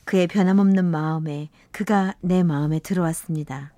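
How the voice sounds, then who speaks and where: A woman's voice: lowish voice, moderate pace, mellow, no echo, lightly acted. Actress as wistful middle-aged woman, close dry voice. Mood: wistful, reflective. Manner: sentimental, reflective. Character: wistful woman in her fifties recalling first love. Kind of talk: scripted radio story narration.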